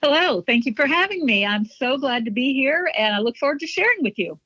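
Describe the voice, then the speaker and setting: A woman's voice: high-pitched, expressive, a little fast, bright, forceful tone, no echo, soft background music. Woman, middle-aged, from Chicago, interview guest, chatty reminiscing, dry close sound, slightly muffled. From Chicago, USA. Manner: chatty, reminiscent, light laughs. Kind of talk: conversational interview storytelling.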